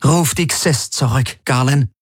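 A man's voice: medium pitch, measured pace, husky, no echo, voice pushed up. A male narrator plays an aristocratic woman in command of a fleet, icy and threatening. The voice is lifted and controlled, with imperious phrasing. It is recorded dry, for an audiobook. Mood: cold threat. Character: cold aristocratic female fleet commander, threatening, secretly desperate.

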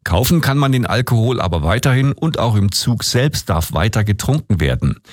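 A man reading the radio news in a measured, formal way. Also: on the low side, very animated, no room sound, clean studio sound. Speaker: news anchor. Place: radio studio. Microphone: studio microphone.

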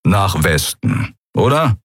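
A man voicing a gruff, stubborn, protective bodyguard in an audiobook, curt and growling. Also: deep voice, very animated, slow, no echo, clean studio sound, full character voice.

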